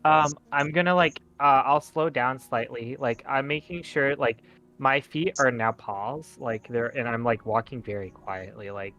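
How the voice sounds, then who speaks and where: Mid-pitched man playing a tabletop game. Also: measured pace, pushed and bright, faint music behind. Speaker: tabletop roleplay player. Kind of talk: casual improvised game talk.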